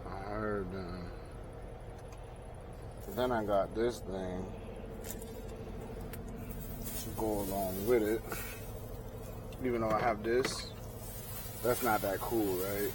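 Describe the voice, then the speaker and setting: Vehicle noise fills the cab around a man with a fairly low voice. He talks very slowly and casually, half to himself, as a trucker vlogging a hands-on job. His muttered phrasing is slangy and sweary. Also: fairly even intonation. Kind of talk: casual hands-on install vlog, talking to self.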